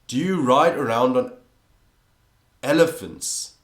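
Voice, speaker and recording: A man's voice: medium pitch, slow pace. Sarcastic teenage boy vlogging. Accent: mixed English and American. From South Africa. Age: teens. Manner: sarcastic, joking, swears casually. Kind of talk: casual commentary. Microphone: phone taped to a computer monitor.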